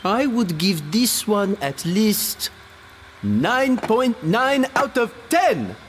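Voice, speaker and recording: Showy cooking contest host. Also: male voice, high voice, sing-song, extremely slow, slight background noise, full character voice. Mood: judging. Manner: announcing, showy. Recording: game dialogue over music.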